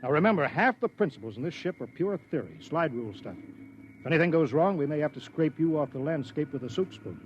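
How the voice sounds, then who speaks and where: A man's voice: a little high, lively intonation, slight background noise, close to an everyday voice. Man voicing a protective rocket-base controller, clipped orders, dull old radio broadcast sound. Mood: firm. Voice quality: brisk, clipped instructions. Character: earnest male mission controller, protective and anxious. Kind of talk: 1950s radio drama acting.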